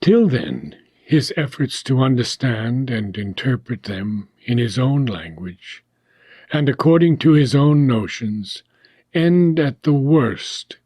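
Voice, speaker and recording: A man reading a philosophical text aloud as an audiobook, in a deliberate, measured manner with short phrases and frequent pauses. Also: mid-range voice, darkish tone, husky, no room sound. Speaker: audiobook reader.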